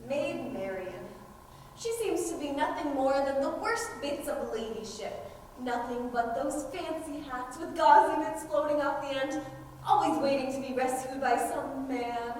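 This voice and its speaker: A woman's voice: high-pitched, animated, measured pace, darkish tone. A teenage girl playing a wry, indignant storyteller in a school stage play, theatrical in delivery. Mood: wry scorn. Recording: distant, echoey stage recording with noise.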